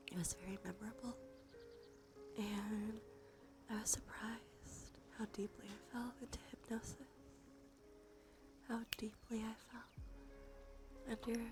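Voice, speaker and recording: A woman doing gentle, unhurried ASMR in a breathy whisper and soft murmur, her nose slightly stuffy. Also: on the high side. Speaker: ASMR streamer, host. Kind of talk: ASMR, soft intimate role play and rambling. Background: soft music.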